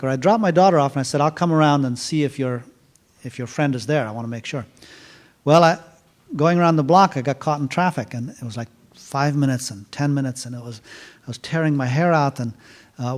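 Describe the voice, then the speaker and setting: A middle-aged man telling a story casually at a university event, halting with many fillers and restarts. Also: on the high side. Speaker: author speaking at a university event.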